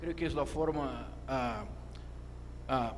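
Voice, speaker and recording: A man with a Brazilian Portuguese accent, a native Portuguese speaker, reads a formal academic lecture in deliberate, measured phrases. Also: mid-range voice. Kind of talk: formal lecture read from a text. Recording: echoey hall with clear background noise.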